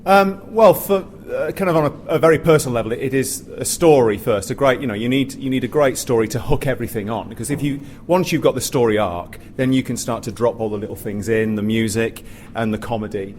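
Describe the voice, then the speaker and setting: A man's voice: mid-range voice, a little fast, darkish tone. A man speaking off the cuff as a theatre writer and performer on a discussion panel, circling back and repeating phrases as he explains. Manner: rambling, repeats and restarts phrases, often says "you know". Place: theatre, live panel after a show. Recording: noisy live room recording.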